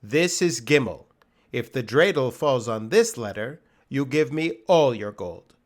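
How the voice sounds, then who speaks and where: A man's voice: mid-range voice, animated, a little slow, close to an everyday voice. A man playing a wily, unflappable trickster in a dramatic storybook reading, teasing and casual with mock innocence. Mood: sly, coaxing.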